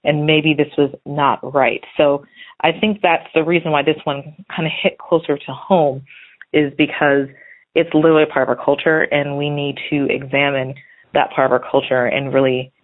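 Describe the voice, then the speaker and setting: A woman's voice: low-pitched, normal speed. An American woman leaving a casual voicemail as a listener calling in, earnest and a little hesitant as she thinks aloud. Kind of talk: casual spoken voicemail commentary. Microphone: phone. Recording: muffled phone-line voicemail.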